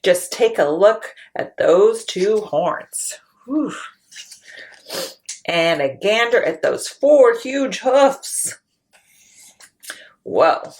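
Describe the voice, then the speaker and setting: A woman in her thirties hosting a children's storytime, reading and talking in an expressive, deliberate way. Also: mid-range voice. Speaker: library storytime host. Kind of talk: children's picture book read-aloud and storytime talk. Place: small room at home, indoors.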